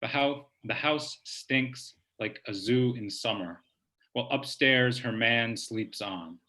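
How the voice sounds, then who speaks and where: A man with an ordinary mid-range voice hosts a poetry evening from home over a video call. He talks in a loose, halting way, filling pauses with ums and starting phrases over, then reads a poem at a slower, careful pace. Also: medium pitch. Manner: relaxed, halting, many "um"s and restarts. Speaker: host of a virtual poetry reading. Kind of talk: hosting and reading a poem aloud. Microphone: laptop mic on video call.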